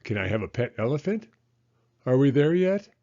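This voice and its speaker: A man voicing an excitable, curious little girl in a storybook reading. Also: medium pitch, moderate pace, gravelly, muffled recording, pitched above the performer's own voice. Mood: eager, curious.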